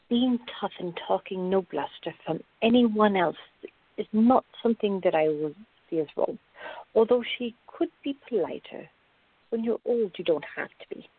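A narrow, phone-like sound carries an actress as a calm woman with a slightly husky voice. She is measured and placating, gently firm as she explains things in a radio drama. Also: medium pitch. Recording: tinny, band-limited, echoey room.

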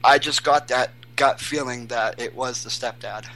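Bright-voiced halting male guest. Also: mid-range voice, fairly even intonation, rough recording. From Maine, USA. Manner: halting, many restarts and fillers. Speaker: guest, volunteer searching for missing children. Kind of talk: casual interview.

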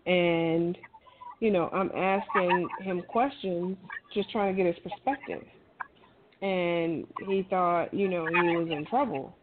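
A woman's voice: on the low side, fairly even intonation, takes it slowly. A middle-aged woman talking casually, giving emphatic, encouraging advice in a colloquial way. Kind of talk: casual conversational talk, advice. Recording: thin phone-like sound with clear noise.

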